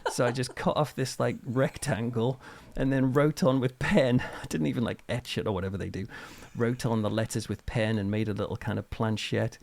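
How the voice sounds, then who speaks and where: A middle-aged British man chatting casually as an interview guest, telling anecdotes in an informal, animated way. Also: medium pitch, a little fast, vocal fry, few pauses, dry recording. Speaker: interview guest, author and reverend.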